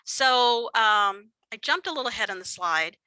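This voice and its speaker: Chatty Texan woman with a bright voice. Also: fairly high pitch, lively intonation, moderate pace, no echo. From Texas. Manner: chatty and self-deprecating, many fillers. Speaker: presenter at a professional workshop. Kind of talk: conversational lecture with slides.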